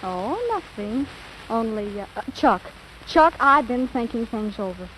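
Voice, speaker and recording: A dramatic teenage girl with a high voice, wheedling and pleading as if everything were a tragedy. It is 1940s radio acting on a faintly noisy old recording. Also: expressive, a little slow, fairly bright tone. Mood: coaxing, worried. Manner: breathless pleading, melodramatic.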